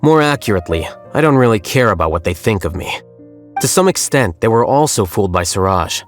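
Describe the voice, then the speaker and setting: An actor playing an aloof, blunt young scholar who speaks in a dry, matter-of-fact way in a game cutscene. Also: male voice, fairly low pitch, expressive, fairly quick, faint music behind, natural voice. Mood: cool.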